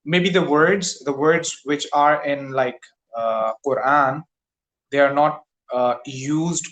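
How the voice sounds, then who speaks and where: A man's voice: fairly high pitch, takes it slowly, fairly bright tone. A Pakistani man whose first language is Urdu, an English teacher talking carefully with fillers on a tutoring call. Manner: careful, hesitant, many fillers. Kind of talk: casual conversation practice. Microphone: video call.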